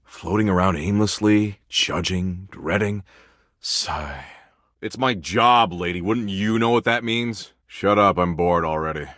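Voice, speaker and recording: Jaded slacker dread spirit, deep voice. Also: male voice, a little slow, fairly bright tone, dry recording, studio quality. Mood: bored, sardonic. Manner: flippant, slangy, laid-back, bored drawl with swooping emphasis. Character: jaded, foul-mouthed slacker embodiment of existential dread. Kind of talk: video game character voice lines.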